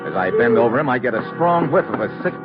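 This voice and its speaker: An actor playing a hard-boiled, skeptical investigator, wry and terse, in the style of an old-time radio detective drama. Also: male voice, mid-range voice, expressive, fast talker, lightly acted. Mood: tense narration. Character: hard-boiled, skeptical male investigator narrating his own case. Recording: dull old radio recording, loud music.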